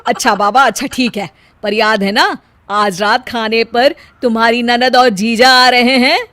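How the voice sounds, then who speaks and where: A woman's voice: a little high, steady tone, a little slow, bright, forceful tone, dry recording. A woman voicing an older mother-in-law in a story, easily exasperated, exclaiming and nagging with emphasis.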